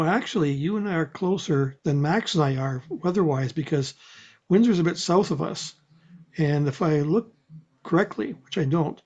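A man's voice: on the high side, a little mellow, muffled recording. A man presenting a radio show in casual group conversation, with a dry, joking way of talking.

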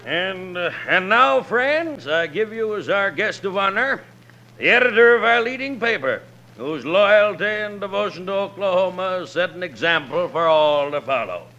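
Pompous local editor making speeches: forceful, high-pitched 1940s radio delivery, muffled recording. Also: male voice, expressive, moderate pace, faint music behind, exaggerated voice. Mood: ceremonious. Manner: formal, declamatory. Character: flustered, ceremonious small-town newspaper editor. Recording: dull 1940s radio, crowd behind.

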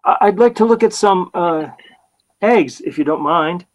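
Timid, stammering young husband. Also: male voice, high voice, unhurried. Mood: earnest, hesitant. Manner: hesitant, stammering. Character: timid, naive, bumbling polite young husband shopper. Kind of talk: old-time radio comedy acting.

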